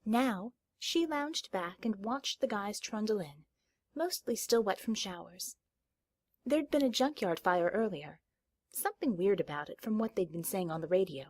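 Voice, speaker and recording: A woman reading a story aloud as scripted audiobook narration, with a slightly husky voice. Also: mid-range voice, expressive, moderate pace.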